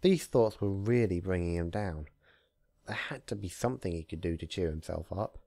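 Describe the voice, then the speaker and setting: A man who hosts readings of fan fiction, talking casually and narrating with theatrical, deliberate phrasing. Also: low voice, gravelly, dark tone, no room sound, studio quality. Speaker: fan fiction reader, channel host. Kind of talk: casual intro, then narrated story reading.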